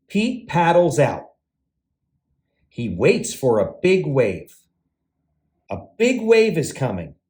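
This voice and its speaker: Slow, very animated man reading to children. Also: mid-range voice. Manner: expressive, deliberate, sing-song emphasis for children. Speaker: children's read-aloud host, teacher and entertainer. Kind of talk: animated storybook read-aloud for young children.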